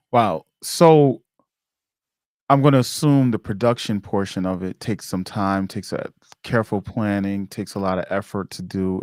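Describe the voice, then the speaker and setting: A man's voice: on the low side, darkish tone, no room sound, studio quality. A man hosting a podcast interview in a casual, informal way, feeling his way through questions.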